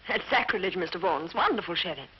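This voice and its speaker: A polite, loyal young woman in a 1940s British melodrama. Her voice is husky and moves freely in pitch. The old film soundtrack is dull. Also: animated, fairly quick. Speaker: film actress.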